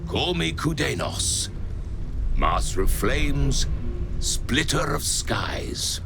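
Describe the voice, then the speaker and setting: A man's voice: mid-range voice, sing-song, extremely slow, gravelly, over music, heavily acted. An actor voicing a proud, ancient male dragon in a video game, solemn and defiant, speaking in grand declarations. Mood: proud, menacing. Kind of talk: video game cutscene acting.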